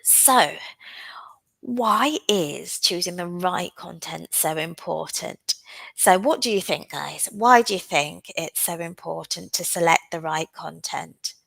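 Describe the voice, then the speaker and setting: A woman with a cold leads a live online exam-prep lesson, her lowish voice gravelly and a little rough. She talks in an unhurried, explanatory way, pausing often on "um". The sound is dry and close, with no room around it. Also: expressive, a bit bright, dry recording. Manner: frequent "um" fillers, unhurried and explanatory. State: has a cold. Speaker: OET teacher.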